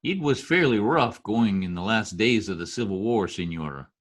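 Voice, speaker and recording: A man's voice: on the low side, animated, moderate pace. A man playing a deferential, persuasive political adviser who schemes for a dictator in an old-time radio comedy. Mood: deferential, insistent. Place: home room, recorded over video call.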